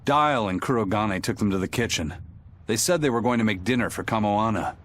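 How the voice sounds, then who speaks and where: Low, laconic tough man. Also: expressive, brisk, fairly bright tone. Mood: matter-of-fact. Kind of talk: English anime game dub.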